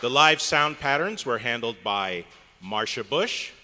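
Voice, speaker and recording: Male director-announcer: formal radio-style credit reading, live convention room, long reverb, clear background noise. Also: medium pitch, expressive, measured pace, crowd noise. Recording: reverberant hotel hall, noisy audience.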